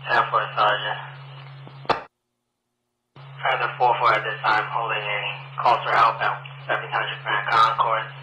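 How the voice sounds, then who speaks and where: Male police dispatcher, forceful monotone, procedural calls over radio with slight noise. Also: on the low side, a little slow, pauses often. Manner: clipped, procedural. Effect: two-way radio. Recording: echoey, noisy police radio transmission.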